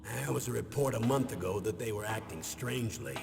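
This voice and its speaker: An actor playing a stern middle-aged military commander in a video game, speaking in a measured, grave way and leaning on names. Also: male voice, lowish voice, animated, vocal fry. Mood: matter-of-fact. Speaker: former special forces commander. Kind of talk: dramatic video game voice acting. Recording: echoing reverberant hall, noisy game audio.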